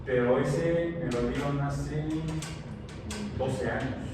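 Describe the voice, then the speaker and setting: A man's voice: medium pitch, takes it slowly. A man in his sixties, a science teacher, chats loosely with his students and wanders from one anecdote to the next, stopping and restarting mid-sentence. He sits far from the mic in a very echoey classroom with noise behind him. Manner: rambling, anecdotal, many fillers and restarts. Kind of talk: casual chat with students before class. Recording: very echoey room, distant mic, background noise.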